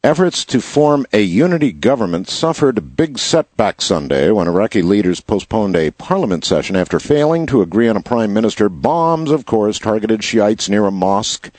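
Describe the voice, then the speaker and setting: A slightly hoarse man hosting a show, reading news headlines in a casual style and adding wry asides. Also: on the low side, darkish tone, no room sound. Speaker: show host.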